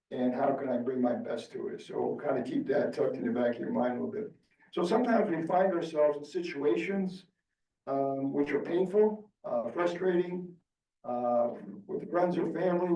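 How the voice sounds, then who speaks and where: A man from Egypt, a guest speaker giving a reflective, earnest talk, pausing often with many fillers. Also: fairly high pitch, creaky, warm tone. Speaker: guest speaker, business owner. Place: meeting room. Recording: echoey room, far from the mic.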